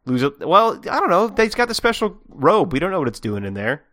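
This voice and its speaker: A man's voice: high-pitched, very animated, brisk, a bit bright, no echo, studio quality. A man co-hosting a podcast, rambling playfully through his theories in casual conversation. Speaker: podcast co-host.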